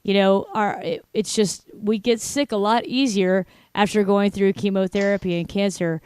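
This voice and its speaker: A woman's voice: mid-range voice, fairly even intonation, moderate pace, darkish tone, clean tone, no echo. A woman in her forties with a Texan accent, hosting a live online broadcast in a chatty, joking way with many fillers. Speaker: host. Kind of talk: casual live broadcast chat.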